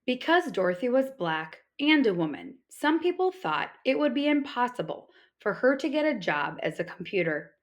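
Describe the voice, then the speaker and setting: A woman reading an informational picture book aloud like a teacher, in a clear, deliberate storytelling manner with emphatic repetition. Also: on the high side, sing-song.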